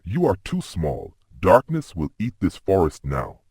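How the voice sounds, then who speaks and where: A man voicing a menacing dark villain bird in a children's cartoon, speaking with ominous threat. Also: low-pitched, wildly swooping pitch, measured pace, husky, dry recording, studio quality, exaggerated voice. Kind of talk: children's cartoon story acting.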